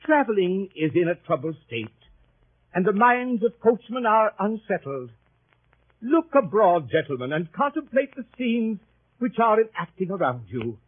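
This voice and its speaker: Pompous, earnest club leader, orotund radio drama speechmaking, high swooping voice, tinny vintage broadcast. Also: male voice, sing-song, unhurried, heavily acted. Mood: pompous, solemn. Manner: orotund, oratorical, formal. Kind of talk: 1930s radio acting.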